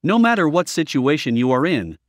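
A man's voice: a little high, big pitch swings, fairly quick, no echo, clean studio sound. A man reading a scripted promotional voice-over as a product review narrator.

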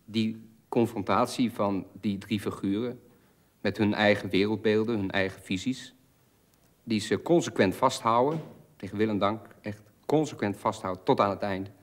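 A middle-aged actor talks in an interview with a fairly low male voice. He searches for his words, repeats phrases and stresses what matters to him. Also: normal speed. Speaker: stage actor. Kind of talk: interview talk.

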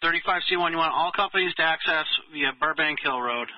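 A man's voice: fairly high pitch, fast talker. Man dispatching fire crews over muffled radio. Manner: procedural, reads units back. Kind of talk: emergency radio dispatch, clipped formal announcements. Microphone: dispatch radio transmission.

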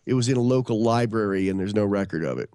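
A man's voice: fairly low pitch, fast, warm tone, no room sound, studio quality. A man in his early fifties from upstate New York, telling stories in a rambling casual way on a podcast video call. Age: 50s. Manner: rambling, many restarts and fillers. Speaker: podcast guest. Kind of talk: casual storytelling chat.

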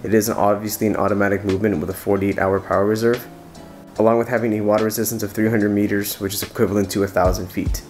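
A man's voice: fairly low pitch, little pitch movement, fast talker, darkish tone, soft background music. A man in his thirties with a stuffy, nasal voice from a cold, chatting casually and enthusiastically to camera from his living room. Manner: chatty, enthusiastic, restarts often. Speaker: watch reviewer. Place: living room with couch, evening lighting.

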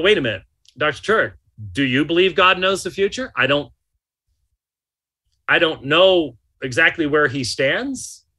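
An older man commenting from inside a small vehicle, talking with animated emphasis and frequent restarts. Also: a little high. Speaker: podcast host. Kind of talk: casual commentary.

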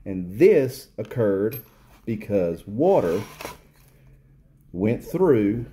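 A man's voice: fairly low pitch, big pitch swings, very slow, warm tone. A man in his workshop explaining a pump repair off camera, talking in a loose, plain way with fillers and restarts. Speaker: repair technician. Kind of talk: casual hands-on repair demo. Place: workshop, off camera behind the bench.

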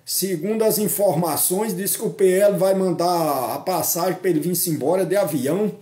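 A man's voice: high voice, normal speed, warm tone, echoey room. An older man from Northeast Brazil vlogging outdoors into a phone, chatty and mocking, tagging his sentences with questions. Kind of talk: casual political commentary vlog. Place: outdoors on a tree-lined park road. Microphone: phone camera at arm's length.

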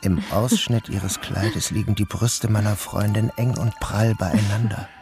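A man's voice: lowish voice, steady tone, a little slow, music in the background. A man narrating an audio drama in the first person, as its protagonist. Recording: noticeable background noise.

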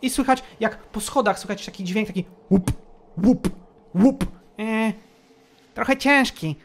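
A woman's voice: a little high, measured pace, slight background noise. A woman narrating a tabletop roleplaying game as game master, telling the story in a descriptive, suspenseful way.

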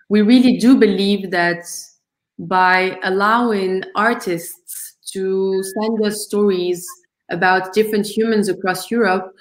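A woman's voice: mid-range voice, reverberant, rough recording. A woman founder presenting her project on a video call, earnest and thoughtful, with frequent ums and restarts. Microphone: video call webcam.

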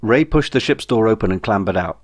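A man's voice: on the low side, animated, brisk. A man narrating a comic science fiction audiobook in a wry, dry manner. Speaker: author reading own audiobook.

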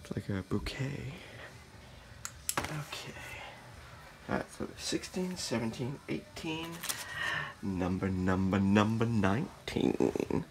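A man showing his handmade flower sculptures, muttering slowly and now and then breaking into excited exclaims, sometimes whispering, filmed in a dark blacklit room. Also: lowish voice, vocal fry, background noise. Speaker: artist showing his work. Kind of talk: casual off-the-cuff show-and-tell, partly whispered.

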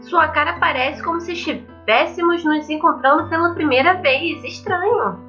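A woman's voice: very high voice, moderate pace, bright, forceful tone, lo-fi sound, faint music behind, pitched above the performer's own voice. A woman voicing a sweet, cheerful, wistful schoolgirl character from an anime visual novel. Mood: wistful, tired.

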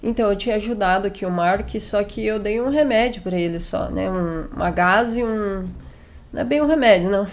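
Chatty young woman gamer, slightly hoarse. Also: medium pitch, brisk. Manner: chatty, jokey asides to the game characters. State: recovering from a cold, slightly hoarse. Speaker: gaming channel host. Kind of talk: casual let's play commentary. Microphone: headset mic.

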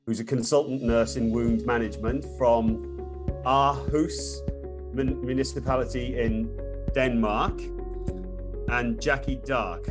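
Hesitant man hosting a webinar. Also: mid-range voice. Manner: hesitant, many fillers and restarts. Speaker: webinar host. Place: room at home. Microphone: laptop webcam on a video call.

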